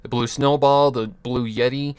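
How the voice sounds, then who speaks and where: A man's voice: medium pitch, fairly even intonation, moderate pace, a little mellow, smooth. Rambling young man streaming at his desk. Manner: rambling, self-correcting, swears casually, chuckles. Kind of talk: casual livestream chat giving mic advice. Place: home computer desk. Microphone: USB desk mic.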